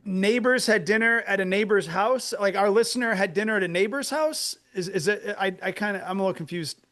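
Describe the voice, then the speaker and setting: A man's voice: high voice, brisk, fairly bright tone. A man co-hosting a comedy podcast, chatting casually with hesitant restarts and wry jokes.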